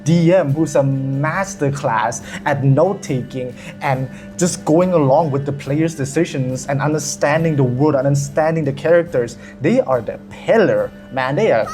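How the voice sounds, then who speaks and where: A man's voice: mid-range voice, expressive, normal speed, a little mellow, faint music behind. A young man from Thailand, a video creator and dungeon master, chatting casually in a rambling, self-deprecating way and cursing often. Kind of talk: casual chat.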